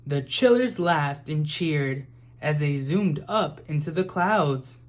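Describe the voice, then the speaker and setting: A person reading a children's picture book aloud with expressive, measured narration. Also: medium pitch. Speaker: storybook reader.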